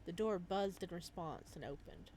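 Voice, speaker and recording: A woman hosting a video game playthrough, reading the story aloud as expressive narration and reacting as she goes. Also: fairly low pitch, moderate pace, a little hiss or hum. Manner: expressive, slips into character voices. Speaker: gaming video host reading a visual novel aloud.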